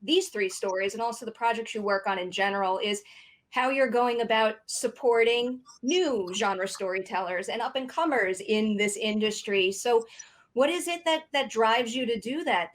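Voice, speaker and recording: A woman hosting a podcast interview, articulate and enthusiastic, moving between prepared introductions and questions. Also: a little high. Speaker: host.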